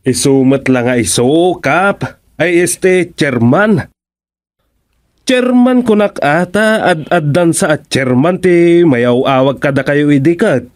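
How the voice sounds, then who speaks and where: A man's voice: fairly high pitch, slow. A man acting a jovial, ceremonious village captain in an Ilocano radio comedy, speaking with an Ilocano accent. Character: jovial, welcoming village captain receiving a fellow official.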